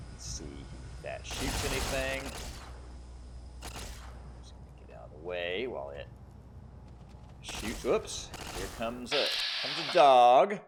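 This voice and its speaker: A man's voice: low-pitched, noisy background. A man giving casual video game commentary, thinking aloud and explaining as he plays. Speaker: gamer playing and commentating.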